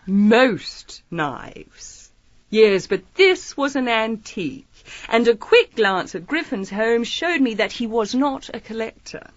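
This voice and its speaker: A woman's voice: on the high side, animated, slow, no room sound, muffled recording. An actress playing a shrewd, playful lady detective in a radio mystery, politely probing with mock innocence. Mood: coolly amused. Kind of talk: radio mystery drama acting.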